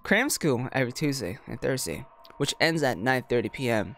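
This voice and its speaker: A man's voice: medium pitch, expressive, moderate pace, fairly bright tone. A man reading as a curt, threatening female kidnapper. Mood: flat, reciting. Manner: curt, menacing. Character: cold, threatening female kidnapper around thirty, impatient. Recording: music behind the voice.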